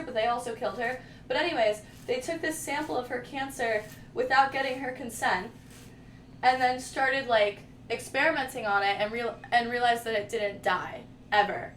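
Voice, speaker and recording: Young woman vlogger, rambling casual chat, slightly high voice, faint background noise. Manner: rambling, lots of "like", informal slang. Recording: echoey room, mic at a distance, faint noise.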